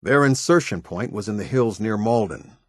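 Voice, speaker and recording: A stern game captain with a low, husky voice. He is disciplined and formal, clipped in his phrasing yet lively in his intonation, and every line carries authority. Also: moderate pace, no echo, studio quality. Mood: stern, commanding. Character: stern, disciplined male military captain giving orders. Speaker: video game voice actor. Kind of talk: scripted video game dialogue.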